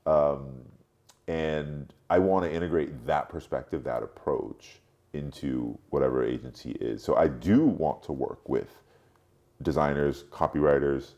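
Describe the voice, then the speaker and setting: A man in his late forties who grew up in Europe, speaking reflectively as an interview guest, haltingly, thinking aloud and repeating words. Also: rumbling low, darkish tone. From Europe, mostly France, born in Cameroon. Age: late 40s. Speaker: podcast guest, marketing strategist.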